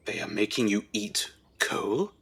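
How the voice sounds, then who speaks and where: A man's voice: lowish voice, sing-song, a little slow, gravelly, fairly bright tone, slightly rough, exaggerated voice. A man playing a fond, worried Edwardian husband in a radio drama, with a British accent and a dry, fussing manner. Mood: dry concern.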